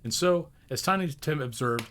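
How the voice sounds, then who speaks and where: Very animated male storybook narrator. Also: on the high side, normal speed, a little hiss or hum. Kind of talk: expressive storybook reading.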